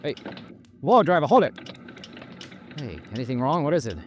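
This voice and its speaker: An actor playing a wry, caring American investigator hero in old-time radio detective style, casual and colloquial. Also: male voice, mid-range voice, sing-song, moderate pace, a little hiss or hum, close to an everyday voice. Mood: alarmed. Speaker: lead actor and narrator. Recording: old radio drama with music.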